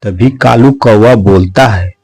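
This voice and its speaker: A man's voice: on the low side, slow, a little mellow, dry recording. A man giving scripted narration for a children's animal story.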